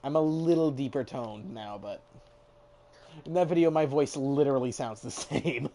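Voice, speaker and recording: A man's voice: mid-range voice, moderate pace, dark tone. A young man streaming a game, chatting casually, swearing and mocking himself, now and then singing along. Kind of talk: casual gameplay chat.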